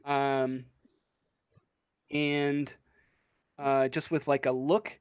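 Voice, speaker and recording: A game master narrating a tabletop roleplaying game in a casual, halting, conversational way. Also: medium pitch, little pitch movement, smooth, no echo, studio quality.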